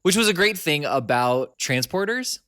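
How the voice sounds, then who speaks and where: A man's voice: on the high side, normal speed, no echo, studio quality. A man co-hosting a podcast in casual chat, laughing often as he talks. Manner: laughs often, chatty and animated. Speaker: podcast co-host.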